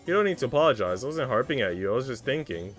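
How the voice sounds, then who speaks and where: A man's voice: mid-range voice, very animated, rapid-fire, natural voice. A man voicing a teasing, affectionate maid from a visual novel, with playful, old-fashioned courtly phrasing. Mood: concerned, gentle. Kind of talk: visual novel character read aloud. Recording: music playing behind the voice.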